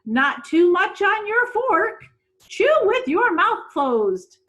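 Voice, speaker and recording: Teacher lady doing bossy prim storybook woman, high singsong scolding, drags the words out. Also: very animated, takes it slowly, fairly bright tone, highs cut off, exaggerated voice.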